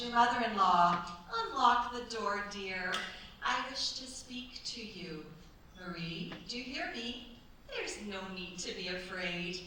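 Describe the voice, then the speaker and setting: A woman's voice: mid-range voice, bright, forceful tone. A woman acting a shrewd, coaxing older French chateau mistress in a staged radio play, with a theatrical, commanding manner. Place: theatre stage at a cultural centre. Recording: very echoey stage, distant mic, faint noise.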